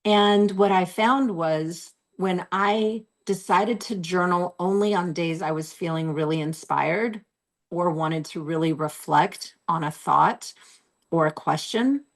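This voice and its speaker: A woman in her forties with a slightly low, a little hoarse voice talks straight to camera from a room at home. She coaches in an earnest, encouraging way and repeats her key phrases for weight. It sounds like a webcam recording. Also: slow pace. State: losing her voice. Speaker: host. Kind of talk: motivational self-help talk to camera.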